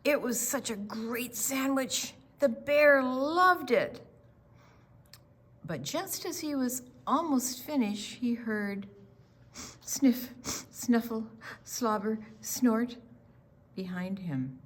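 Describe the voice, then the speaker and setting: A woman reading a children's picture book aloud in an expressive storytime style. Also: a little high, takes it slowly.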